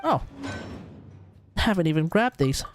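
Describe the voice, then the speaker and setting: A man's voice: on the high side, big pitch swings, measured pace, soft background music. An Australian man giving casual, rambling game commentary on a livestream, often talking to himself.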